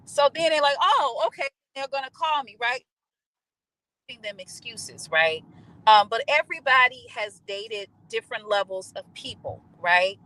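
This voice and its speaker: A woman's voice: fairly high pitch, very animated, normal speed, sharp tone, poor audio. A woman co-hosting a relationship talk podcast, chatting animatedly and slipping into a sugary tone for examples. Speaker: podcast co-host. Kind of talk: casual chat.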